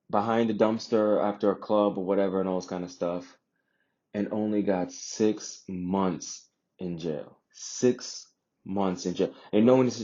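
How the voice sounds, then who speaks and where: Deep, dark-voiced man from Jamaica. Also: lo-fi sound. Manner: emphatic, repeats phrases for emphasis, rhetorical questions. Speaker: vlogger sharing personal views. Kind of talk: casual opinion monologue.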